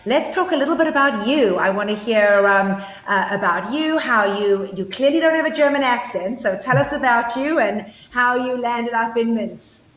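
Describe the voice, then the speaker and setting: A woman's voice: fairly high pitch, normal speed, hardly any pauses, fairly bright tone. South African woman, co-host, eager and chatty with lots of fillers, echoey room sound. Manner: enthusiastic, frequent fillers and restarts. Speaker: podcast co-host and interviewer. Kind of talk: casual chat.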